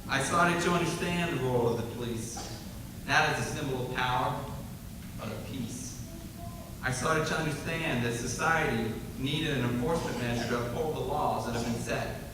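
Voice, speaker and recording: A man who is a police officer, giving a solemn, measured speech at a memorial ceremony. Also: medium pitch, noisy background. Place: large hall at a ceremony. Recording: echoey hall from far off.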